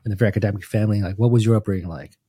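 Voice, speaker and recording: A man's voice: fairly low pitch, very fast, mellow, breathy edge, no echo. A middle-aged man from Taiwan hosting a casual conversational podcast interview.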